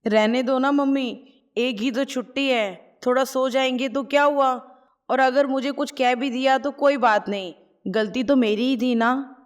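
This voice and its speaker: A woman voicing a gentle, tearful young daughter-in-law, talking softly and apologetically. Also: high voice, fairly even intonation, unhurried, clear, resonant tone, voice pushed up. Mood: pleading. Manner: soft, apologetic.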